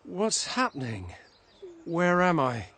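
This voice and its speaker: An actor playing an earnest, apologetic, dutiful gatekeeper with a husky voice in an animated fantasy game. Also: male voice, on the high side, slow pace, heavily acted. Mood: dazed.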